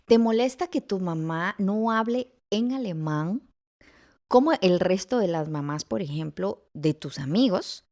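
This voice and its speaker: A woman hosting a podcast interview, gently prompting her child and offering words when she gets stuck. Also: mid-range voice, animated, a little slow. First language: Spanish. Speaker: podcast host, mother.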